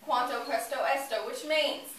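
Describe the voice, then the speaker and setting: A woman's voice: high-pitched, moderate pace, a bit bright. A young woman performing a two-faced, officious small-town church lady in a comic stage monologue, with syrupy, exaggerated theatrical delivery. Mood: pompous, officious. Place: indoor competition room, recorded from audience distance. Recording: roomy, distant mic, faint noise.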